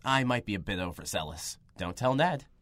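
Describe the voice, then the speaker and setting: A man's voice: fairly low pitch, fairly quick, no echo, clean studio sound. An actor playing a nervous, rambling young man filling in as a radio host in an audio drama. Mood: earnest, rambling. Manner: rambling, self-deprecating, lively.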